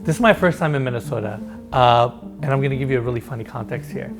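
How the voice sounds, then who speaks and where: A man's voice: mid-range voice, fast talker, creaky, over music. A man from Turkey with a Turkish accent, hosting an enthusiastic walkthrough of a large house. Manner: enthusiastic, showcasing. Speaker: host. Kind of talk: home tour presentation.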